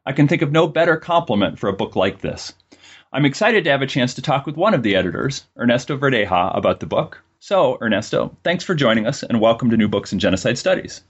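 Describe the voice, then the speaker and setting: Male podcast host, lively pitch, breathy and slightly muffled. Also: fast. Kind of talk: scripted introduction and interview.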